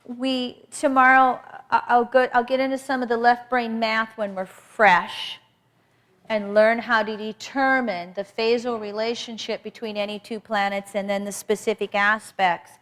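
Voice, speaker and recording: Woman astrology teacher, loud and energetic, slightly high voice, lecturing a workshop. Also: unhurried. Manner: energetic, emphatic, repeats for emphasis.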